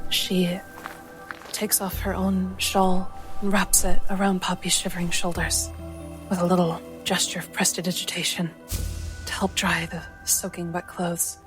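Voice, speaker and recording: A woman playing a tabletop game, narrating and voicing her character in a gentle, serious storytelling manner. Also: on the low side. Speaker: tabletop roleplay player. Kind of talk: in-character fantasy narration with acted dialogue. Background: music.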